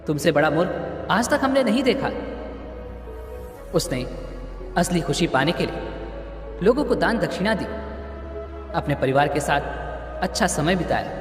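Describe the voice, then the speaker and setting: A young man narrating a moral story in an earnest, expressive storytelling manner. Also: sits high. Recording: roomy reverb with music underneath. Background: background music.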